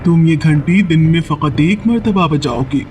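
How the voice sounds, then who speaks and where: A man voicing a kindly, wise old tree spirit in a cartoon, speaking in a gentle, solemn way. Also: on the high side, background noise, lightly acted. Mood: solemn, generous.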